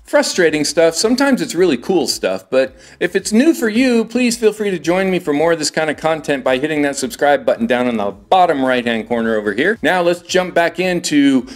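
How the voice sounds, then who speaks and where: A middle-aged man hosting a tech tutorial, walking through steps in a clear, upbeat, chatty way, recorded in a living room. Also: fairly high pitch, animated, brisk, hardly any pauses. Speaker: tech video host. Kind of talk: step-by-step tutorial narration.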